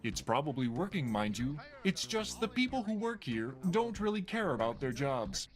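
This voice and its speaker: A man's voice: a little high, big pitch swings, normal speed. A man voicing the sardonic narrator of an adventure video game, reading scripted narration with dry, mocking asides. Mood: wry.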